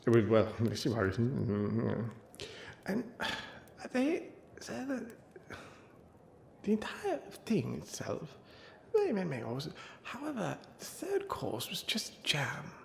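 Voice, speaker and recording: A man's voice: mid-range voice, vocal fry, exaggerated voice. A man playing a befuddled old wizard who mumbles and rambles through tall tales in a comic ASMR roleplay, trailing off into muttered asides. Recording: roomy echo, faint background noise and ambience.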